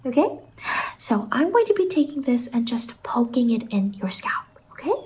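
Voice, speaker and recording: A woman playing a whimsical, reassuring salon stylist in an ASMR roleplay, speaking softly and gently on a close microphone and often slipping into whispers. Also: fairly high pitch, sing-song. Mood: soft, encouraging. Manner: gentle, reassuring, drawn-out words. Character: whimsical, reassuring female fever-dream hair salon stylist.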